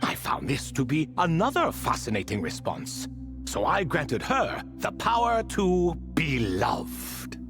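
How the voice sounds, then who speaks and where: An ancient bird-spirit king for a fantasy game, proud and whimsical. His fairly high voice swoops dramatically and takes its time over grand, old-fashioned storytelling, with music faintly behind. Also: wildly swooping pitch, slow, full character voice. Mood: solemn storytelling. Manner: theatrical, storytelling, archaic grand phrasing. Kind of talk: scripted game dialogue.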